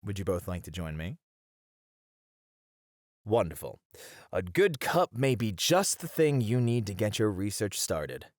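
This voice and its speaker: A man playing a passionate, playful college teacher with dramatic, expressive phrasing in an ASMR roleplay. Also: on the low side, measured pace, long pauses, no echo, studio quality. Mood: warm, encouraging. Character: flirty, theatrical, passionate male college teacher.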